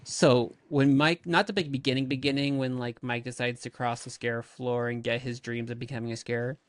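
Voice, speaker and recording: Young woman, very deep voice, teasing co-host, dry close sound. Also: steady tone, normal speed, no room sound. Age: young adult. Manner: teasing, laughs often. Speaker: podcast co-host. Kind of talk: casual chat.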